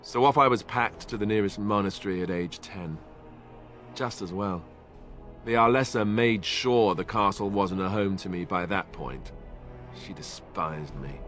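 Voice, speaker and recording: An actor playing an awkward, earnest young warrior in a fantasy video game, speaking in a hesitant, self-effacing, conversational way. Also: male voice, sits low, unhurried, a bit bright, soft background music. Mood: resigned, explanatory. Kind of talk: video game cutscene acting.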